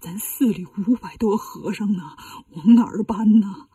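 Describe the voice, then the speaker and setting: A woman's voice: on the high side, lively intonation, a little fast, a little mellow, dry recording, far from the mic. A woman voicing a grumpy, snobbish old male head monk who grumbles dismissively in a storybook tale. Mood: nervous, reluctant.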